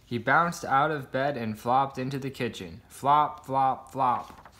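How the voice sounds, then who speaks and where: A man's voice: medium pitch, slow, sharp tone. A man reading a children's picture book aloud, voicing the sound words expressively. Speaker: storybook reader.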